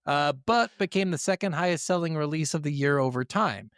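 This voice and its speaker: A man's voice: a little high, a little fast, fairly bright tone, clean tone, dry recording, clean studio sound. A man co-hosting a gaming podcast, speaking informally and given to swearing, partly working from notes. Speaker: podcast co-host.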